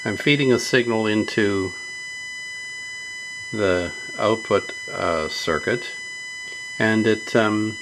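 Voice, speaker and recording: A man with a fairly low, gravelly voice talks through a hands-on repair. He speaks very slowly and haltingly, thinking aloud as he works. There is faint noise behind him. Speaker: host of a radio repair video. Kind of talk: unscripted hands-on repair tutorial.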